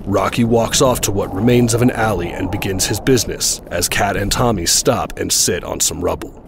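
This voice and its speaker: A man's voice: on the low side, normal speed, gravelly, noisy background. A man reading scripted narration for an action audio drama. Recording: voice over loud trailer music.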